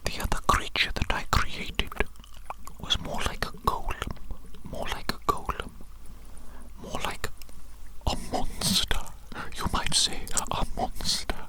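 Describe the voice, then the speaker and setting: A performer acting out an evil mad scientist in a whispered ASMR roleplay. The voice is all breath, hushed and unvoiced, lingering theatrically over repeated phrases with a doting, sinister air. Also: slow, close to an everyday voice. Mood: boastful, hushed.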